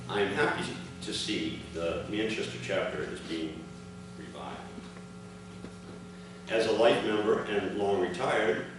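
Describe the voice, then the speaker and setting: An older man reading a prepared speech aloud to a gathering in measured, separate phrases. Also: on the low side. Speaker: speaker reading his father's account at an award ceremony. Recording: echoey, noisy hall recording from far off.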